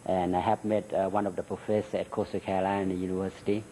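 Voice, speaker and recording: A middle-aged man with a Thai accent, Thai his first language, answering interview questions in halting English with many fillers. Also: low voice, level tone, warm tone, slight background noise, poor audio. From Thailand. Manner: halting, many "uh" and "you know" fillers, laughs between answers. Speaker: interview guest. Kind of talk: recorded interview.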